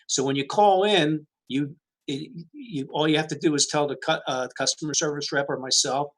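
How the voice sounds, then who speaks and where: A man's voice: on the high side, normal speed, rough recording. A man who manages customer service, describing his team's services over a video call with many fillers. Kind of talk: webinar presentation.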